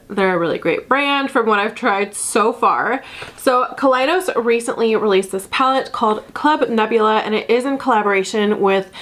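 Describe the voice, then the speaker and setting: A woman's voice: medium pitch, fairly bright tone. A young woman with a cold, sounding stuffy, chatting in a bubbly way through a makeup unboxing and review with many fillers. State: sick and stuffy. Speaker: beauty vlogger. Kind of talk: casual product review.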